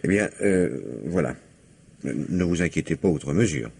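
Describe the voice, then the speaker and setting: An actor playing a probing, courteous police commissioner in a French radio mystery drama. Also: male voice, sits low, lively intonation, normal speed, creaky, heavy background noise. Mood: politely insistent.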